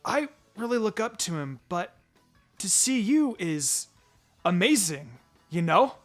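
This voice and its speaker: A man's voice: sits high, sing-song, slow pace, faint music behind, voice pushed up, full character voice. A man voicing an eager, admiring young ninja boy in a story, gushing and a little sheepish. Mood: enthusiastic, awed. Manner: gushing, enthusiastic.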